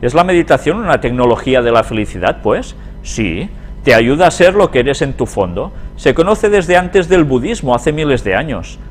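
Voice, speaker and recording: A man's voice: mid-range voice, big pitch swings, normal speed, slight background noise. A man with an accent from Spain giving a religious lecture as a teacher, reading aloud and commenting conversationally, often putting questions to his listeners. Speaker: Bible prophecy teacher.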